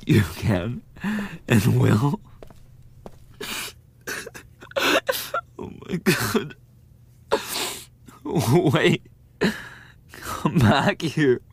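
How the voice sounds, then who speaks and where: A young man playing a lovesick, defensive school bully in a boyfriend ASMR roleplay, speaking haltingly in a husky, breathy voice. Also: mid-range voice, sing-song, heavily acted. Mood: desperate, tearful. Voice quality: husky, breathy, often dropping to a whisper. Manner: halting, swearing, coughing between phrases.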